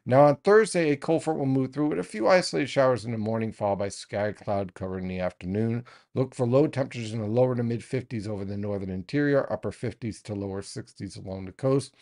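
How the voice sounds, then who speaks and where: A man in his thirties giving a weather forecast from a small home studio, explaining steadily and talking straight to his viewers. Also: on the low side. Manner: steady explanatory delivery, addresses viewers directly.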